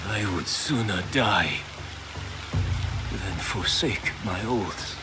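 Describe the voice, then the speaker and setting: An actor playing a proud, bitter samurai master archer in a game cutscene, grave and formal in delivery. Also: male voice, low-pitched, lively intonation, drawn-out words, over music, heavily acted. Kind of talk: dramatic game cutscene acting. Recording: noisy rain-like ambience behind.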